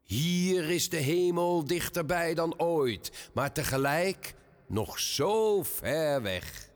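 Slow, theatrical game show host. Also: male voice, fairly high pitch, expressive. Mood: suspenseful. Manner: theatrical, ceremonious announcing. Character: showy, ceremonious game show host of the afterlife. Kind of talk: satirical radio play acting.

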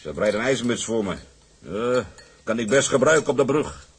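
An actor playing a proud, blunt old sea captain in a radio drama, gruff and insistent. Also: male voice, medium pitch, lively intonation, normal speed. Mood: proud, brooding. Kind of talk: Dutch radio drama acting.

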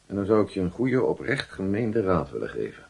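An actor playing a stern, moralising schoolmaster in a radio play, grave and patronising. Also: male voice, low voice, big pitch swings, fairly quick, creaky, darkish tone, slightly rough, heavily acted.

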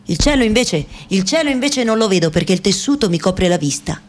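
A woman acting a fearful yet defiant Afghan woman in a radio theatre monologue, speaking in deliberate, repetitive short phrases. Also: mid-range voice, animated, natural voice. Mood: tense, oppressed. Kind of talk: dramatic first-person monologue, radio theatre.

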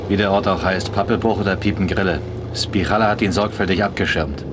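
An actor playing an inquisitive East German police lieutenant in a radio crime drama, colloquial, brisk and wry. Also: male voice, deep voice, steady tone, background noise, lightly acted. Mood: wry, confident.

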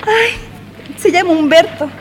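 A woman's voice: extremely high pitch, measured pace, slight background noise. A woman acting an earnest, lovestruck young woman in an amateur radio play, with a Chilean accent and a dreamy, girlish manner. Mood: eager.

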